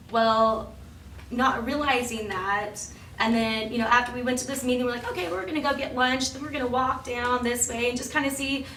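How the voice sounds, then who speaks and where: A woman with a slightly raspy voice giving a chatty, informal report at a public meeting, full of ums and restarts. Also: a little high, bright, forceful tone. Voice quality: slightly raspy from cheering over the weekend. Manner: chatty, many ums and self-corrections. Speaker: downtown business promoter reporting to council. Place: council chamber. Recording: echoey council chamber, mic far off, faint hiss.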